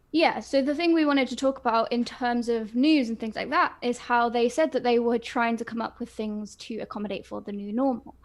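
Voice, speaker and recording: A woman's voice: fairly high pitch, vocal fry. A woman co-hosting a casual fan podcast, talking enthusiastically with lots of fillers. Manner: enthusiastic, gushing, frequent fillers. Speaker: podcast co-host.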